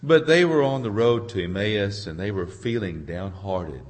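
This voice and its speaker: A hoarse man preaching an informal sermon in a halting, folksy way, searching for his words and clearing his throat. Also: lowish voice. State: hoarse from a cold. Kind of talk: informal sermon with congregation responses.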